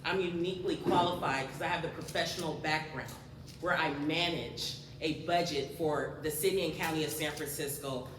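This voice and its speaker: A woman's voice: deep voice, steady tone, moderate pace, noisy background. Female candidate, confident and emphatic; distant mic in a reverberant hall. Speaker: candidate at a forum. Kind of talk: campaign pitch. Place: large community cultural center hall.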